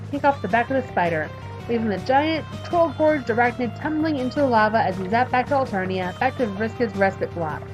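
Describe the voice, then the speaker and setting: A woman in her thirties reading a visual novel aloud and chatting about it, emphatic while reading and quiet when talking about herself. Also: fairly high pitch, poor audio. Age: 30s. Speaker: let's play streamer reading a visual novel. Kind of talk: reading game text aloud and commenting.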